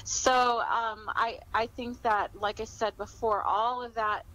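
A woman's voice: fairly high pitch, steady tone, takes it slowly, pushed and bright, low-quality recording. A middle-aged woman answering interview questions conversationally, in a reflective, encouraging manner. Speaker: author, podcast guest.

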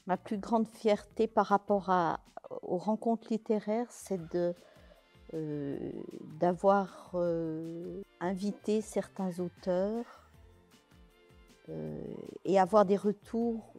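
Femme d'une soixantaine, parole lente et hésitante. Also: female voice, mid-range voice, slow, darkish tone, soft background music. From France, Pays de Gex. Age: 60s. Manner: hesitant, with many fillers and restarts. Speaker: interviewee, literary event organizer. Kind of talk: casual interview answers. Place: large bare hall, indoors.

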